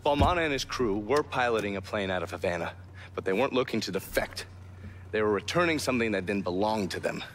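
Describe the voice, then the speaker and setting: An actor playing a gruff, foul-mouthed intelligence operative in a video game, terse and cynical as he briefs a partner. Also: lowish voice, lively intonation, fast, a bit bright, slight background noise. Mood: grave, matter-of-fact. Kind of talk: video game cutscene acting.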